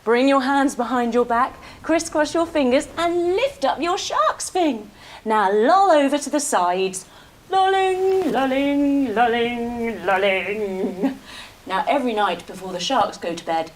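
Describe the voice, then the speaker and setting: A woman leading a children's yoga story in a playful, expressive, encouraging way, acting out the movements and sounds as she goes. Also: on the high side, slight background noise. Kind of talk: animated storytelling lesson for young children.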